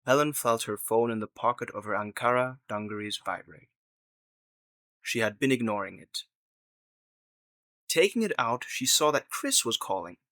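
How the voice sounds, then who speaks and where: A man narrates a scripted radio drama in a medium-pitched voice. The tone is bright and forceful, and the sound is dry and close. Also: expressive, normal speed, pauses often, no room sound.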